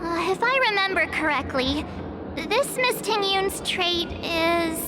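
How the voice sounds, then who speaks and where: Game companion, childlike girl: very high, swooping, animated delivery, candid, easily startled. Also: slow pace, full character voice. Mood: frank, animated. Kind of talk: video game voice acting. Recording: loud game music behind the voice.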